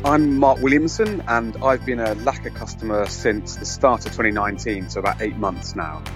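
A man's voice: lowish voice, normal speed. A man giving a conversational customer testimonial. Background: music.

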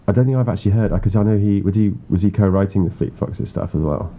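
A man's voice: on the low side, fast, warm tone. A young man in casual chat, curiously asking probing questions. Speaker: interviewer. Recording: muffled, dull sound.

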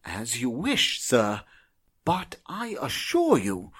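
A man playing a deferential, nervous household servant in a radio drama, husky, formal and hesitant. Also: medium pitch, wildly swooping pitch, slow, a bit bright, dry recording, exaggerated voice. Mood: nervous, flustered.

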